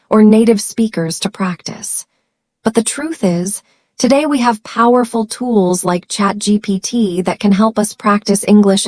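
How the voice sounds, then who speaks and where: A woman's voice: mid-range voice, a little mellow, no room sound. Woman narrating a tutorial, dry close sound. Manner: simple short sentences, encouraging. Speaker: English teacher and channel host. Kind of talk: scripted beginner tutorial narration.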